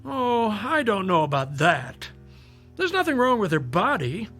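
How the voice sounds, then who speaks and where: A kindly doctor in a radio play, reassuring and a little skeptical. His voice sits high for a man and moves expressively up and down. He soothes and gently teases, with music playing underneath. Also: very animated, measured pace, full character voice. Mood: concerned, probing. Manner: soothing, lightly teasing bedside manner. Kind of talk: modern radio drama acting.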